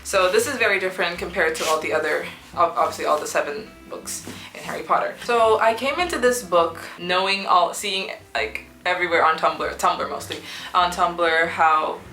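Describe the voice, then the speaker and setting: A young woman, a student, chatting casually in a book review vlog, rambling with many fillers and restarts, with a sore throat that makes her clear it now and then. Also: lowish voice, normal speed, pushed and bright, echoey room, faint music behind. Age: young adult. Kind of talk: casual chat. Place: small student apartment room.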